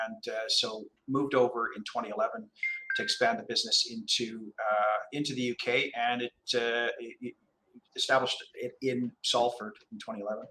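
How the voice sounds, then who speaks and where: Canadian man, middle-aged, interview guest; bright forceful tone, thoughtful halting delivery, slight background hiss. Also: mid-range voice, low-quality recording. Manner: measured, hesitant, many restarts and fillers. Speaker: commercial lawyer, guest. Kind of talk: relaxed interview conversation.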